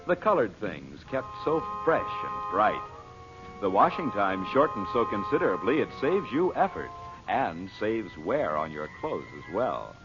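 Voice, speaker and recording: A man's voice: lowish voice, lo-fi sound. A male radio announcer giving a cheerful, persuasive scripted soap ad in old-time broadcast style.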